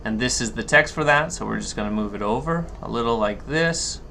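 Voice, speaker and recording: Male tutorial instructor, forceful tone with gravelly edges, halting step-by-step delivery, unscripted. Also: medium pitch. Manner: thinks aloud, halting, step-by-step. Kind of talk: unscripted screen-share software walkthrough. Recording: noticeable background noise.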